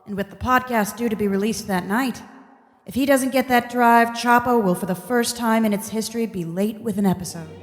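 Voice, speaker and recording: A woman plays a solemn heavenly storyteller in a parody radio play. Her fairly high voice carries some long reverb. She is grave, and short-tempered with her listener. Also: fairly quick, soft background music, close to an everyday voice. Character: solemn heavenly narrator, easily annoyed with listener.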